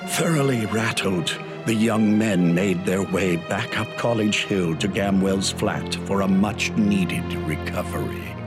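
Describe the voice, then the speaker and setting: Deep, grave horror narrator. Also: male voice, unhurried, few pauses, over loud music. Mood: grave, measured. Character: grave male storyteller of a horror tale. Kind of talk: scripted radio drama narration.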